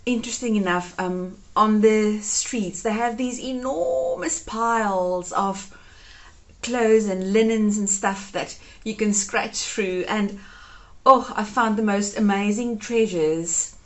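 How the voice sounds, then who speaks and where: A woman's voice: medium pitch, takes it slowly. A woman in her thirties whose first language is Afrikaans, chatting her way through a home decluttering vlog and gushing over keepsakes. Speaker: home organizing vlogger.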